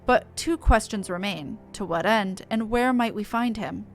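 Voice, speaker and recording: A woman's voice: medium pitch, soft background music, lightly acted. Woman reading as a grave, eloquent fantasy investigator. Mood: ominous. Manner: formal, measured, dramatic delivery.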